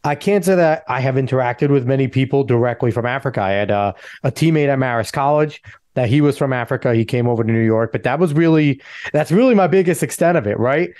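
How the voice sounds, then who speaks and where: A man's voice: medium pitch, fast talker, darkish tone, no room sound, clean studio sound. A man from New Jersey hosting a podcast, asking friendly, conversational questions. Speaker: podcast host. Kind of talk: casual interview.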